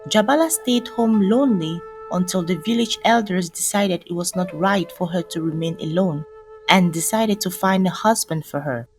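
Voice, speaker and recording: Woman narrating a folktale over soft music. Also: on the low side, moderate pace. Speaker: storyteller.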